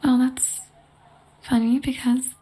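Breathy, fairly high-voiced mean popular girl, as a woman voices her for ASMR roleplay. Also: flat delivery, takes it slowly, warm tone, exaggerated voice, low-quality recording. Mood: haughty. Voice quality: breathy, whispery. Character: mean popular schoolgirl, obsessive and cruel. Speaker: voice actress in yandere roleplay audio.

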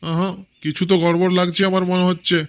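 A man's voice: sits high, monotone, quick pace, heavy background noise. A man voicing a foul-mouthed village father in a cartoon, grumbling and suspicious.

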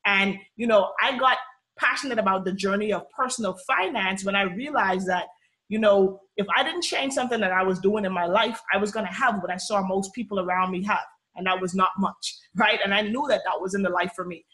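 A woman's voice: mid-range voice, brisk, a bit bright, rough recording. A woman in her thirties from the Bahamas with a Bahamian accent, hosting a live webinar on a video call from a small room at home, chatty and upbeat, often checking in with "okay?". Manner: chatty, upbeat, frequent "you know" and "okay?" check-ins. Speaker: personal finance webinar host.

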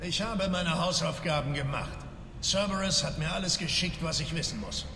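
An actor playing a brash, cynical, hardened mercenary in a video game, blunt and businesslike. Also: male voice, fairly high pitch, moderate pace, creaky, sharp tone. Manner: blunt, brusque. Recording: echoey hall, faint ambient noise.

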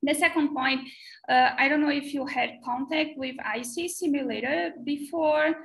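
A woman's voice: sits high, normal speed, vocal fry, a little hiss or hum. A young adult woman whose first language is Portuguese, answering examiners at her thesis defense over a video call with a headset. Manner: steady explanatory answers, frequent "so". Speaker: doctoral candidate. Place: small bright room indoors.

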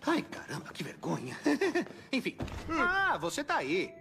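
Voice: medium pitch, huge pitch swings, a little slow, creaky, pushed and bright, slight background noise, full character voice. An actor voicing a scruffy pop-up ad hustler in a Brazilian cartoon film dub, casual and salesy. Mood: casual, chatty. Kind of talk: animated film dubbing.

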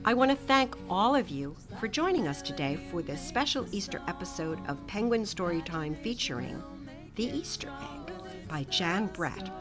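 A middle-aged woman reading a children's picture book aloud with gentle, expressive storytelling and soft whispered asides. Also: medium pitch, normal speed. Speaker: storytime reader. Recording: music playing under the voice.

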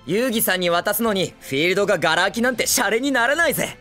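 Anime boy hero: excitable, brash teen, very lively melodic swings, eager exclamations, faint music behind. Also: high-pitched, fast talker. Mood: fired up. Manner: cocky, eager, exclamatory, boyish speech. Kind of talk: Japanese anime film acting.

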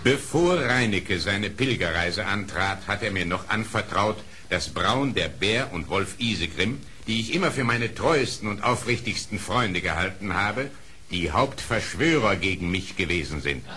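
A man's voice: fairly low pitch, expressive, a little slow, bright, forceful tone. An actor playing a proud, stern animal king with a declamatory, regal manner in a storybook radio play. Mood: grave. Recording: faint hiss of old record.

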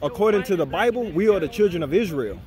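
A man's voice: high voice, lively intonation, fast talker. A man interviewing at an outdoor street protest, lecturing emphatically about scripture with rhetorical questions. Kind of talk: street debate, scripture preaching. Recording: noisy protest crowd behind.

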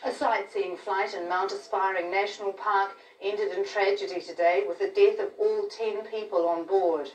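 A woman's voice: mid-range voice, steady tone, moderate pace, hardly any pauses. A woman in her thirties with a New Zealand accent, reading scripted television news. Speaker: news presenter. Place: television newsroom studio. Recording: roomy, distant capture of TV broadcast.

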